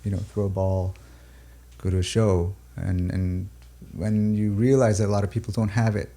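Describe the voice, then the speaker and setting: Low gravelly-voiced male musician, reflective. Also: little pitch movement, dark tone. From Pakistan and the UAE. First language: Urdu. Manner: thoughtful, halting, many fillers and restarts. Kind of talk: reflective interview talk.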